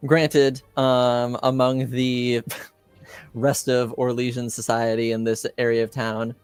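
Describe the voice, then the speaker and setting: Male game master for a tabletop RPG, unhurried and halting, improvised narration over background music. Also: mid-range voice, little pitch movement, clean tone, no echo. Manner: hesitant, halting delivery.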